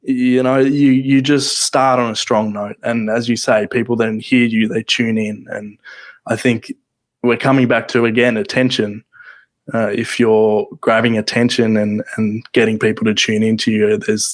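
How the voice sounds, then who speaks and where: Man, soft-spoken guest, stop-start delivery, close-miked. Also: lowish voice, level tone. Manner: soft-spoken, halting with many restarts. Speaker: podcasting guest. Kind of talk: casual interview chat. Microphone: close microphone.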